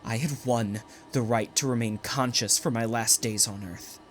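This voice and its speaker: A man's voice: medium pitch, fairly even intonation, moderate pace, natural voice. A man playing a desperate condemned poet in a dystopian audio drama, with an intense, dramatic delivery. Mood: grim recounting. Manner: intense, pleading, stammering when distressed. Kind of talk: dramatic audio drama acting with first-person narration.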